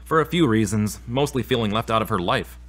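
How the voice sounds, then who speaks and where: Low-voiced remorseful man, played by an actor. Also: animated, fairly quick. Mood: defensive, contrite. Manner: earnest, confessional. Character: remorseful, troubled man struggling with his anger. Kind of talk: audio drama acting.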